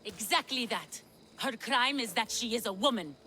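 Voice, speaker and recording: Stern commanding priestess, bright voice, cold authority. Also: female voice, fairly high pitch, expressive, moderate pace, slight background noise. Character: stern priestess presiding over the games.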